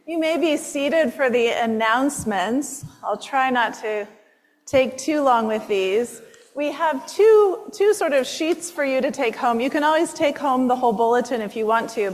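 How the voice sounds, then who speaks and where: A woman with a fairly high voice leads the liturgy and then moves into chatty, rambling church announcements. She is on a microphone in a church sanctuary, and her voice carries a long, ringing reverb. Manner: chatty, self-interrupting with fillers. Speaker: priest leading the service.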